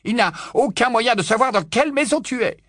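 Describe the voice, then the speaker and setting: A calm, reasoning father figure, as a male narrator voices him, his pitch lifted well above the reader's own voice. He sounds steady and reassuring, heard dry and close. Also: brisk, no echo.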